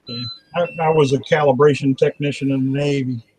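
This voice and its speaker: A man's voice: medium pitch, fairly even intonation, brisk, darkish tone, a little hiss or hum. Stammering man in his fifties. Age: 50s. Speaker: guest. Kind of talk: casual group chat.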